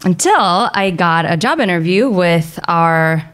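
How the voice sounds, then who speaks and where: Chatty woman from Bulgaria, low voice. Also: animated, a little slow, fairly bright tone. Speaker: guest filmmaker. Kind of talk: casual interview chat.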